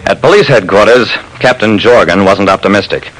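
A man's voice: lowish voice, fairly quick, low-quality recording. A man narrating a classic radio detective drama as its lead, in a wry, dramatic first-person storytelling manner.

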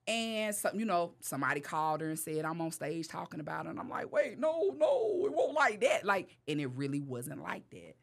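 A woman comedian telling stories in a casual interview. She laughs often, fills her talk with "you know what I mean", and jumps into high voices when she quotes herself. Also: sing-song, normal speed, gravelly, hardly any pauses, slight background noise. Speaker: comedian guest.

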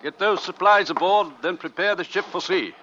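An actor playing a resolute, authoritative sea captain in an old-time radio drama. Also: male voice, sits high, bright, forceful tone. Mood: commanding. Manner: brisk, authoritative. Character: commanding, resolute male sea captain of an Arctic expedition. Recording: dull, noisy vintage radio recording.

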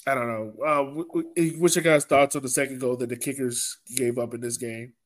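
A man's voice: mid-range voice, fairly even intonation, normal speed. A man hosting a sports podcast, talking casually through soccer match analysis in a conversational way.

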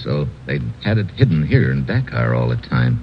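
An actor playing a tough, wisecracking investigator in an old-time radio drama, clipped and sardonic. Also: male voice, extremely low pitch, very animated, normal speed, slight background noise, full character voice. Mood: brisk, intrigued. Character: tough, wisecracking male investigator narrating his case. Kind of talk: hard-boiled old-time radio narration and acting. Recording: dull old radio recording.

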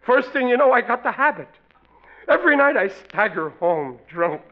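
A man's voice: very high voice, sing-song, moderate pace. An American actor playing a blustering, wheedling family father in a classic radio comedy, melodramatic and exasperated. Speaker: sitcom lead actor. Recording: dull, noisy old radio broadcast.

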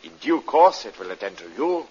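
An actor playing a suave, fussy spy villain in an old-time radio drama, speaking in a husky, pompous and precise manner. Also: male voice, mid-range voice, very animated, a little fast, dark tone. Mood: smooth menace. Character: suave, fussy, menacing male spy feigning indignant mourner. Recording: dull vintage radio drama recording.

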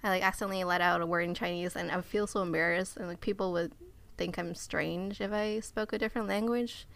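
A woman's voice: on the low side, steady tone, fast talker, gravelly, fairly bright tone. A young adult woman whose first language is Cantonese, talking casually in a hesitant way with many fillers and trailing-off phrases. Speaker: participant. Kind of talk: casual conversation.

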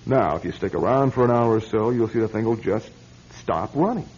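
An actor playing a wry, impatient young bachelor in a classic radio drama. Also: male voice, fairly low pitch, lively intonation, fairly quick, darkish tone, husky, faint music behind. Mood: exasperated, uneasy. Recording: dull, lo-fi old radio recording.

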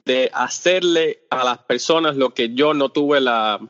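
A man from Cuba with a Cuban accent, a podcast guest talking casually and haltingly, often pausing on fillers and restarting his sentences. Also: mid-range voice, fairly bright tone. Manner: hesitant, searches for words with many fillers and restarts. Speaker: podcast guest, off-road video creator. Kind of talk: casual interview talk. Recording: dull lo-fi sound with long reverb.

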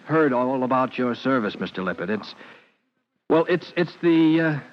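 A man's voice: medium pitch, lively intonation, natural voice. Guy acting nice young fireman big brother, old radio show, polite n kinda hesitant, muffled old audio. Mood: polite, probing. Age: young adult. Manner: hesitant, halting, deferential. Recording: dull vintage radio recording.

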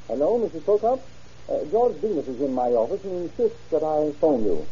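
An actor playing a formal, skeptical, dismissive office professional in an old radio crime drama. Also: male voice, fairly high pitch, very animated, fairly quick, dark tone, slightly rough, exaggerated voice. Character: dry, skeptical office professional, unimpressed by threats. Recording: dull, muffled old radio recording.

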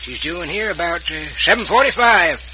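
An actor playing a folksy Old West sheriff with an American western accent in a vintage radio drama. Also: male voice, sits high, expressive, fairly quick, lo-fi sound. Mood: relaxed. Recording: hissy vintage radio recording.

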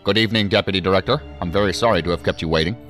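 A weary male assistant director in a science fiction audio drama. His voice is very low, with a dry, wry, measured and articulate manner. Faint music sits behind him. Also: a bit bright. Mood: measured, defensive.

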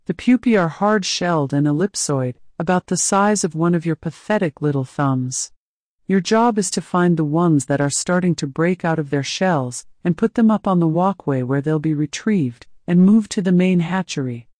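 A woman's voice: on the low side, expressive, darkish tone, no room sound, studio quality, natural voice. A woman voicing a genial, cruel alien slave keeper. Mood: menacing. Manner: mocking, coolly menacing, deliberate. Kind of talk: audiobook character voice.

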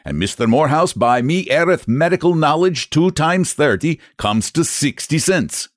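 A man's voice: a little high, animated, moderate pace, no room sound, clean studio sound, voice pushed up, natural voice. A man voicing a stubborn, rule-bound Irish express agent who speaks in thick dialect and mocks the customer. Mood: stubborn mocking.

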